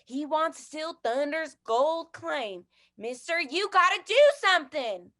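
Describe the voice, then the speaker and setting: Woman as a shrill, feisty old cowgirl. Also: expressive, unhurried, bright, forceful tone, full character voice. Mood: anxious. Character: feisty, folksy older cowgirl of the Old West. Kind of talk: old-time western radio drama acting.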